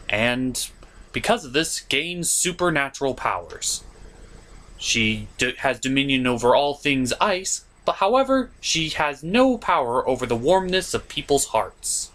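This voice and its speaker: A man's voice: mid-range voice, lively intonation, a little slow, fairly bright tone. A man hosting a comedic film review, narrating with theatrical, exaggerated delivery. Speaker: show host and reviewer.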